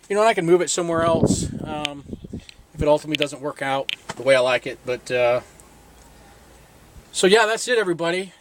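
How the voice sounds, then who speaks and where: A man's voice: on the high side, fairly bright tone, a little hiss or hum. Rambling middle-aged man showing his boat. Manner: rambling, lots of fillers and restarts, self-deprecating. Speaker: boat owner showing his own repair work. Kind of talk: casual do-it-yourself walkthrough. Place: outdoors on a boat.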